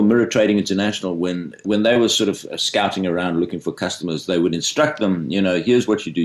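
Male interviewer, deep voice, measured and careful. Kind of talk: interview questions and comment.

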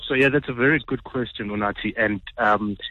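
A man's voice: fairly low pitch, a little fast, muffled recording. A South African man answering as an interview guest in a hesitant, explanatory conversational way. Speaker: guest, writer and illustrator.

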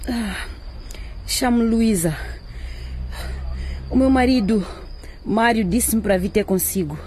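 A woman's voice: a little high, takes it slowly, a little mellow, a little hiss or hum. A woman acting in a radio drama as a timid, anxious wife and mother. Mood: anxious, pleading. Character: timid, frightened wife and mother pleading for help.

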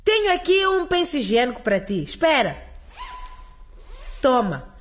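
A woman acting in a radio drama as an eager, helpful and excitable adolescent schoolgirl. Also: high-pitched, unhurried. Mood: excited.

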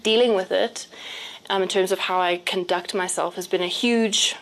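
A woman's voice: medium pitch, fairly even intonation, normal speed, a little hiss or hum. A woman from South Africa giving reflective, conversational answers as a panel guest, often rephrasing as she thinks.

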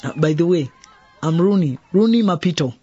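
An actor playing an eager, cocky teenage footballer in a radio drama. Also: male voice, on the high side, lively intonation, a little fast, dark tone, lo-fi sound, slight background noise, natural voice. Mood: eager, confident.

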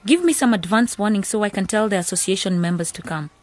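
A woman's voice: mid-range voice, lively intonation, quick pace, a little mellow, dry recording, natural voice. An actress playing a skeptical young woman who leads a village youth association, in a radio drama.

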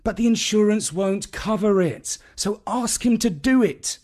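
A man's voice: high-pitched, unhurried, darkish tone, pitched above the performer's own voice. A man voicing an exasperated, protesting dad with dry humour in a storybook reading.

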